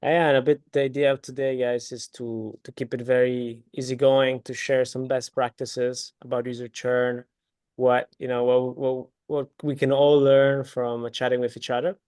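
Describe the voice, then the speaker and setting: A man's voice: medium pitch, level tone, moderate pace, a little mellow, smooth, no room sound, clean studio sound. A man from Italy with an Italian accent, speaking informally as a presenter in a casual online webinar.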